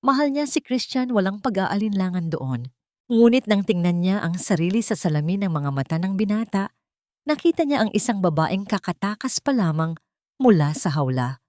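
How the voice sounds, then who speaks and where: A woman storyteller narrating a scripted dramatic tale in a deliberate, emotive manner. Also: medium pitch, sing-song, dark tone, no room sound, studio quality.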